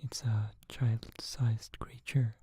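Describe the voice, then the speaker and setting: Whispering ASMR storyteller, flat and very slow, breathy, dry close sound. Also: mid-range voice, no room sound. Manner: whispering, slow and soothing, thinking aloud.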